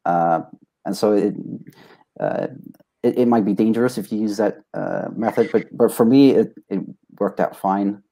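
A young man from Sweden, a native Swedish speaker, giving casual, halting, modest answers as an interview guest. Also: lowish voice, creaky, mellow. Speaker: interview guest, test taker.

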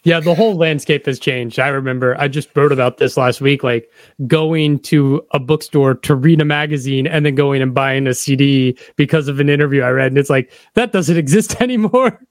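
A man's voice: medium pitch, moderate pace, no room sound, studio quality. A middle-aged man chatting casually as a podcast interviewer. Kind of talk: casual chat.

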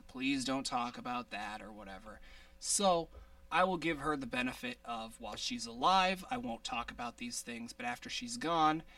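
A man with a high-pitched voice hosting a casual podcast, rambling with many restarts and laughs. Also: creaky, pushed and bright, distant mic. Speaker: podcast host.

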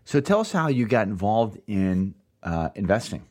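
A man's voice: fairly low pitch, sing-song, normal speed, a little mellow. A man hosting a podcast interview who introduces his guest and asks questions with enthusiasm. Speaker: podcast host.

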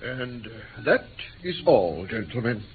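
An actor playing an anxious interpreter who recounts an ordeal in earnest, precise, formal phrases in a radio drama. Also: male voice, medium pitch, sing-song, slow. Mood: earnest recounting. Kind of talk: radio drama acting, animated storytelling. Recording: tinny old radio recording, background hiss.

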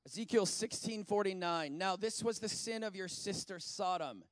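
High-voiced guy in his 40s preaching, chatty and animated, smooth clear tone, dry sound. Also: moderate pace, no echo. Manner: animated, conversational preaching with asides. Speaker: pastor. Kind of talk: sermon.

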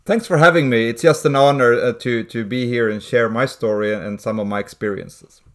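Guy late 40s, earnest and steady, mid pitch, telling his story as a guest. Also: a little fast. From Sweden. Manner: earnest, steady, with small restarts. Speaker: guest, missionary. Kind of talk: interview storytelling.

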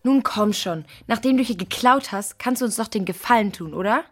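A woman's voice: medium pitch, a little fast, husky, soft background music, natural voice. A woman playing a direct, persuasive girl from an adventure crew in a children's audio drama, frank and pressing.

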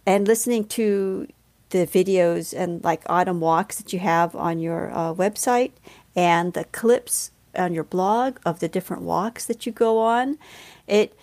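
A woman interviewer speaking in a measured, thoughtful way, pausing mid-sentence as she leads into her questions. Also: medium pitch. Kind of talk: interview, prepared questions with reflective remarks.

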